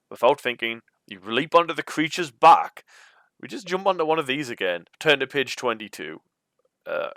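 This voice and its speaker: A man with a bright, forward voice reads a gamebook aloud as its host. He mixes storybook narration with informal, chatty asides, in a dry, close-sounding recording. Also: medium pitch, creaky, no echo. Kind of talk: storybook read-aloud with casual commentary.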